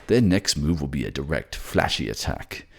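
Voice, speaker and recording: A man voices a brooding warlord king from a fantasy audio drama. His voice is extremely low with a creaky, gravelly edge. He speaks with curt, proud authority. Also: big pitch swings, normal speed, full character voice. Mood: stern. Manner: brooding, curt, commanding, disdainful. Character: gruff, menacing, scornful warlord king, fiercely protective lover.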